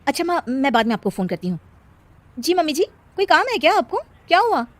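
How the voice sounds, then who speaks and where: A woman voicing a harried young working daughter-in-law in a cartoon story, in an expressive way. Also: sits high, quick pace, no echo, pitched above the performer's own voice. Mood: hurried, polite. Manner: whiny, exasperated, expressive.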